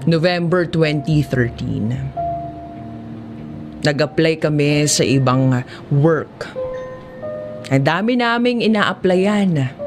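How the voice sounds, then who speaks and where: A woman with a Filipino accent narrates a first-person life story in a measured, confessional audiobook drama style. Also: low-pitched. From Philippines.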